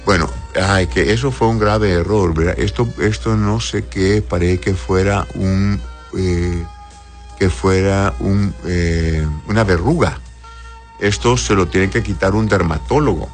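Low deep guy doctor on an advice show, chatty and fatherly, music playing behind him. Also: a little slow. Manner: avuncular, uses endearments and frequent checking tags. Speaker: doctor hosting a call-in advice show, answering listener messages. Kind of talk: conversational medical advice, reading messages aloud.

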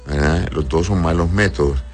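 A man's voice: deep voice, normal speed, faint music behind. A male doctor answering listeners' health questions in a patient, explanatory way, often checking "right?" and calling patients "my love".

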